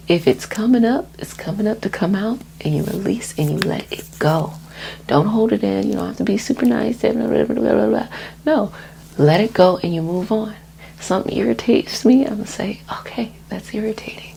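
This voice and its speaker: A woman with a soft, breathy voice that often drops to a whisper gives a soothing, gently reassuring ASMR energy healing talk. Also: mid-range voice, moderate pace, a little hiss or hum. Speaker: energy healer and host of healing sessions.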